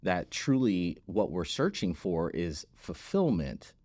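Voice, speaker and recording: A man's voice: low voice, sing-song, slow, a little mellow, no room sound. A man speaking as an interview guest, telling his story conversationally, stressing key words and often checking in with "right?". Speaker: podcast guest, physical therapist and business founder.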